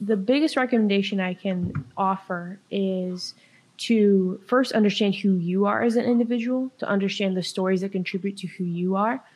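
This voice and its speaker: Young woman raised in China, earnest explainer, podcast guest; plain even delivery. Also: medium pitch, moderate pace, low-quality recording. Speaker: podcast guest, workshop leader. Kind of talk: podcast discussion.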